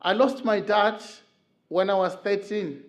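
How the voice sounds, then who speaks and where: A middle-aged man from Zimbabwe speaking earnestly in church, telling personal stories with filler pauses. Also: sits high. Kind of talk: talk on men's mental health. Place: church hall.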